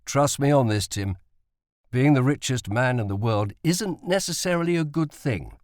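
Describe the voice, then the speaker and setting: A man voicing a gentle, modest father in an audiobook, measured and reassuring. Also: medium pitch, expressive, no room sound, clean studio sound, natural voice.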